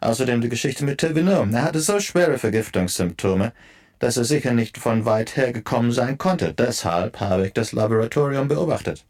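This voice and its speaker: A male actor plays a reporter turned detective in a radio play. His voice sits in a middle range and moves with lots of lively variation. He is modest, casual and eager to explain. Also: mid-range voice, moderate pace, lightly acted. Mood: amused, explaining. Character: clever, modest, chatty amateur detective reporter. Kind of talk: German radio play acting.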